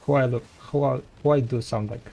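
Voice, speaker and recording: A man whose first language is Russian, with a light Russian accent, chatting with viewers as a game streamer and trailing off in halting restarts. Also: mid-range voice, steady tone, creaky, warm tone, lo-fi sound. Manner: rambling, halting, many restarts. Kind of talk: casual chat with viewers.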